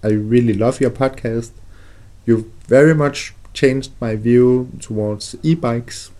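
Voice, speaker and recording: A man's voice: mid-range voice, slow pace, warm tone. A man from Denmark, leaving a friendly, earnest voicemail as a listener. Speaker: listener calling in.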